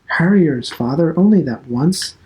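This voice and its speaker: A man's voice: a little high, animated, unhurried, warm tone, voice pushed up, rough recording. A man reading the part of a meek, crestfallen grown son who makes weak, hesitant excuses.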